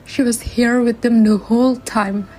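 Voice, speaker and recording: A woman playing an anxious guardian, high voice. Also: fairly even intonation, measured pace, warm tone, rough recording. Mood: urgent. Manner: anxious, urgent. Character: worried adult woman, Annabelle.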